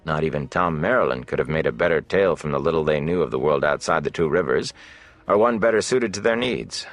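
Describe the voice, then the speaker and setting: A man's voice: rumbling low, lively intonation, fairly quick, no echo, soft background music. A man reading scripted fantasy narration as an audiobook narrator.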